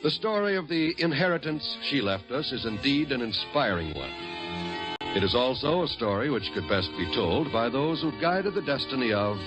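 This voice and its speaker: A man's voice: medium pitch, big pitch swings, moderate pace, lightly acted, rough recording. A man announcing an old-time radio drama in a solemn, grand, declamatory style of scripted narration. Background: music.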